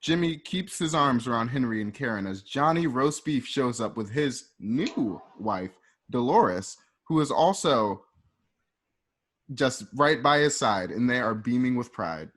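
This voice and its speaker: A man with a medium-pitched voice serves as narrator of a radio play. He reads scripted scene descriptions in a measured manner. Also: expressive, fairly bright tone. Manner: measured, present-tense scene setting.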